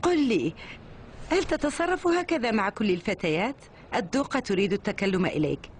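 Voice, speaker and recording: Woman doing a earnest no-nonsense maid in a cartoon dub, mid pitch, formal. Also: expressive, brisk, slight background noise, lightly acted. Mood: firm. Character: forthright maid and companion to a duchess. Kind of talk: Arabic cartoon dub acting.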